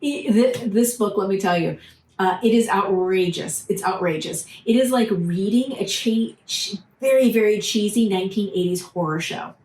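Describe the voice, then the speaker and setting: A woman talking casually and with enthusiasm about books she has been reading, often pausing with "uh" and restarting her sentences. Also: mid-range voice, darkish tone, roomy sound. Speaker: book vlogger. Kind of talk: casual book review talk.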